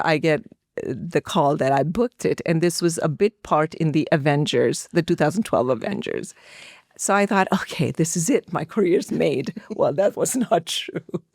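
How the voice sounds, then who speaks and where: A woman's voice: deep voice, no room sound. Middle-aged woman telling her story, dry sound. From India. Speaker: podcast guest, actor. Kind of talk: conversational interview storytelling.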